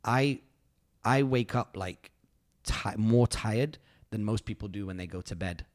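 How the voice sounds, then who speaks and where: A man's voice: fairly low pitch, measured pace, darkish tone. A man, hosting a podcast and reflecting on his year in a rambling, earnest way full of fillers. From United Kingdom. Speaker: podcast host. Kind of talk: casual reflective chat.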